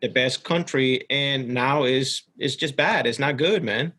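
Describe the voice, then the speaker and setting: A man's voice: medium pitch, measured pace, bright, forceful tone. A man from Ecuador talks in a mid-range voice as a guest on a podcast. He is earnest and plainspoken, keeping a casual conversational style. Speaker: podcast guest, security professional. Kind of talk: casual interview chat.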